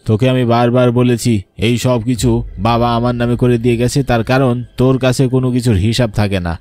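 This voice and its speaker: A man's voice: mid-range voice, flat delivery, fast, darkish tone, smooth, no room sound, natural voice. A man voicing a grave, vengeful ghost of a wronged elder brother, scolding in a horror cartoon. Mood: reproachful. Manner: scolding, reproachful. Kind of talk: Bengali horror cartoon acting.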